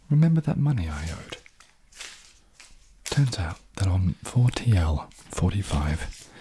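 A man in his thirties playing a smug, seductive inner alter ego in an ASMR short film, in a breathy whisper with a slow, intimate, slyly cocky manner. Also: sits low, warm tone, a little hiss or hum. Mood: smug, amused. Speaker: ASMR performer.

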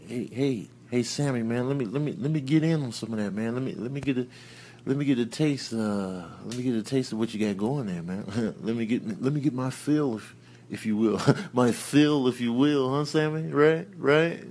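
A man's voice: mid-range voice, moderate pace, darkish tone, a little hiss or hum, pitched below the performer's own voice, close to an everyday voice. A man voicing an eager animal who begs in a coaxing, stammering, repetitive way for a comic voice-over. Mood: wheedling. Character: eager, wheedling male animal begging for a taste.

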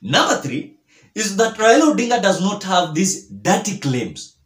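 A man's voice: sits high, very animated, a little slow, fairly bright tone. A young man with a Kenyan accent gives emphatic political commentary to camera, repeating phrases for weight. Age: young adult. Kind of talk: direct-to-camera news commentary. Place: small bare-walled room indoors. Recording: echoey small room.

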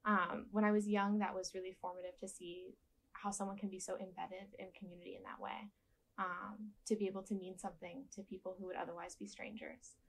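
A young woman with a soft voice giving gentle, thoughtful interview answers with frequent ums and restarts, seated in a dark studio. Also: medium pitch, level tone, a little fast, vocal fry, a little hiss or hum, poor audio.

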